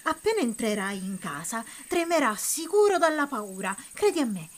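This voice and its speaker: A woman's voice: on the high side, very animated. A woman voicing an anxious little male animal in a children's story, pleading for help. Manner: pleading, quick when worried. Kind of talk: children's audio story acting.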